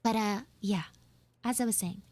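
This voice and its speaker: A woman voicing a sassy, flustered high school tsundere frog girl in a casual, blunt, rambling live audio roleplay. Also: normal speed, darkish tone, no room sound, natural voice. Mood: nervously dismissive. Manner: blunt, snarky, swearing, rambling asides.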